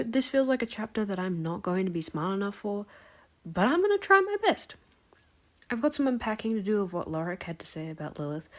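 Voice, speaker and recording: A woman's voice: lowish voice, darkish tone. A woman recording a listener submission, giving prepared commentary for a podcast.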